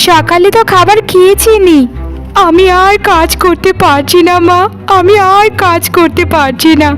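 A woman's voice: very high-pitched, steady tone, normal speed, a bit bright, faint music behind. A woman voicing an exhausted, self-pitying, overworked young wife in a cartoon, plaintive and pleading. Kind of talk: cartoon voice acting.

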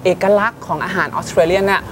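A man's voice: high voice, fast talker. A man in his thirties hosting a restaurant show, chatting eagerly with a guest in an outdoor garden on a lapel mic. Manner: eager, chatty, many short agreeing replies. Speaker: show host. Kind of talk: lively restaurant-show chat. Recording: outdoor street noise behind.

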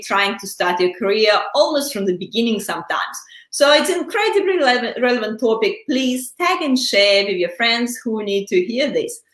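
A woman's voice: a little high, fairly bright tone, roomy sound. A young woman hosting a live stream interview over a video call, chatty and upbeat with frequent fillers. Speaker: host. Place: small room indoors. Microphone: earphone headset on video call.

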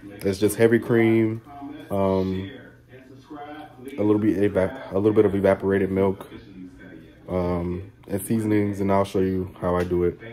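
A man in his thirties talking casually through a home cooking recipe, relaxed and unhurried with frequent ums. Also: sits low. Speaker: home cook vlogger. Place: home kitchen, indoors. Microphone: phone camera, handheld and propped. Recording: music playing loud behind.

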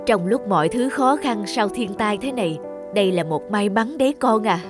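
A woman voicing a devoted, gentle, emotional mother in a cartoon drama. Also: medium pitch, lively intonation, normal speed, over music, natural voice. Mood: coaxing. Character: devoted, pleading mother of an injured girl.

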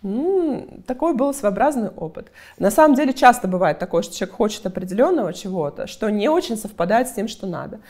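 Fast-talking woman PR expert. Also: medium pitch, big pitch swings, darkish tone. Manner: brisk, talkative, explains with examples. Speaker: PR agency head, guest expert. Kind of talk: interview answers with client anecdotes.

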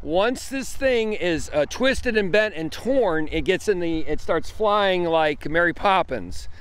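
A man's voice: high voice, expressive, slow pace, clean tone. An older man from the weather service explaining storm debris in a plainspoken interview outdoors. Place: outdoors in a field.

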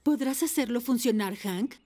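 Woman voicing a high-voiced cartoon motorcycle mom. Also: moderate pace, dark tone, close to an everyday voice. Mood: encouraging. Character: supportive adult female rescue motorcycle, a mother. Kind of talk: Spanish-language cartoon dub acting. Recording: cartoon music under the voice.

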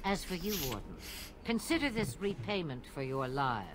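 A woman's voice: deep voice, sing-song, normal speed. A woman voicing an imperious, sardonic old witch mother in a fantasy game, dry and commanding. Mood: brisk, commanding. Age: older. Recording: game audio over noisy outdoor ambience.

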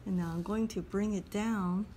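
A woman calmly talking through a step-by-step drawing lesson as she sketches at a table. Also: medium pitch, slight background noise. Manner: calm, unhurried, talks while drawing. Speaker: drawing instructor. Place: at a table indoors.

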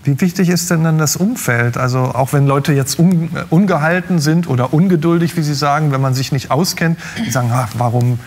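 A man's voice: on the high side, a little fast, few pauses, darkish tone. A middle-aged man hosting a talk show, asking questions in a conversational style. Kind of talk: conversational interviewing. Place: large TV studio.

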